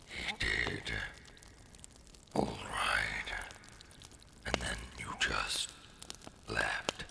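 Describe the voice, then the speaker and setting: A huge, menacing dragon in an ASMR roleplay, rumbling at an extremely low pitch. The growl is slow and breathy, close to a whisper. It questions the listener with deliberate suspicion. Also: male voice, fairly even intonation, bright, forceful tone, exaggerated voice. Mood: wary questioning. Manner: deliberate, interrogating.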